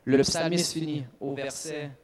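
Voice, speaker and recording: A man, a native English speaker, preaching in a church with lively bursts of emphasis and frequent restarts. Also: fairly high pitch, measured pace. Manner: animated preaching with restarts and self-corrections. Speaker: youth speaker. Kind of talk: sermon.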